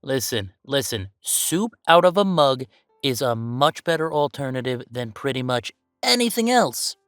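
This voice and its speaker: Animated, chatty werewolf boyfriend played by a man. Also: mid-range voice, unhurried, clear, resonant tone, no room sound, studio quality, faint music behind. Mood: teasing. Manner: chatty, teasing, mock-defensive, emphatic. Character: playful, easygoing young male werewolf boyfriend. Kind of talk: casual boyfriend roleplay audio, one-sided conversation.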